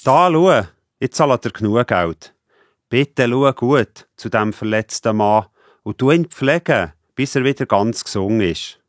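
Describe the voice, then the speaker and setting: Male actor as kindly Samaritan helper, mid-pitched, calm and caring, Swiss German accent, dry studio sound. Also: a little slow, dry recording, close to an everyday voice. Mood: encouraging. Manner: caring, reassuring. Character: kind, calm Samaritan traveller tending the wounded. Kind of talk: children's audio drama.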